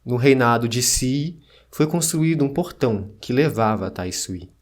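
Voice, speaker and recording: A man reading scripted narration of an ancient text in a solemn, measured way. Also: medium pitch, lively intonation.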